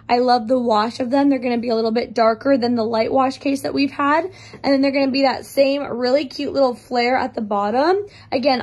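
Young woman w a high voice, a bit raspy, gushing about outfits into her phone in the living room. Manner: bubbly and chatty, addressing viewers as you guys. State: slightly raspy from losing her voice. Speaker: clothing brand try-on host. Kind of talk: casual try-on vlog. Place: bright living room with plants. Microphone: phone held up for a mirror selfie.

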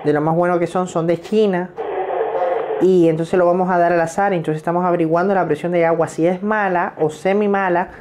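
A man's voice: high voice, fairly even intonation, brisk, background noise. A young Cuban man in his twenties making a prank call in a hesitant, official-sounding manner. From Cuba. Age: 20s. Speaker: prank caller. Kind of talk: phone prank posing as a water company worker. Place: indoor room with curtain.

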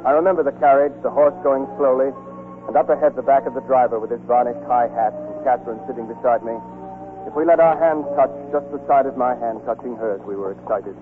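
A man's voice: medium pitch, fast, close to an everyday voice. Guy as a young soldier in love, wistful n understated, old radio play, music under tinny sound. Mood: nostalgic narrating. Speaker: actor and narrator in a radio play. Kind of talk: 1940s radio acting. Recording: thin 1940s radio recording with music.

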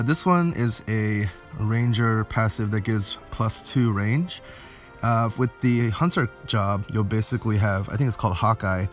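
A man giving a casual, explanatory game walkthrough, thinking aloud as he goes. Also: lowish voice. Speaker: gaming video host. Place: indoors, voice-over on game footage. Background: game music.

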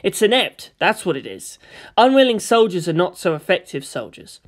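A woman's voice: on the low side, very animated, normal speed. A woman voicing a distressed, argumentative and suspicious teenage boy in a dramatic story reading. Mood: bitter frustration. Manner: agitated, questioning, protesting. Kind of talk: dramatic audiobook character reading.

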